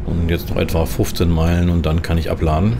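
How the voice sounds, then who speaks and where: Low-voiced man over rumbling engine noise. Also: little pitch movement, brisk. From Germany. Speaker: gaming channel host. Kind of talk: in-character commentary over a truck driving game. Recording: clear engine and vehicle noise behind. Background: truck simulator game sound.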